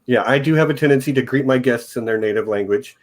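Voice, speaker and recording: A man's voice: medium pitch, quick pace. Male host, informal chat, easygoing and jokey. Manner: relaxed, joking, casual rambling. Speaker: podcast host.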